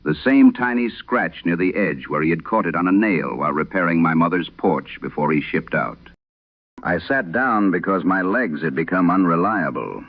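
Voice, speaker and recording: A man narrating as a troubled wife reading her haunted letter in a vintage horror radio drama, solemn and measured. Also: deep voice, animated. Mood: somber, uneasy. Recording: dull lo-fi vintage radio sound.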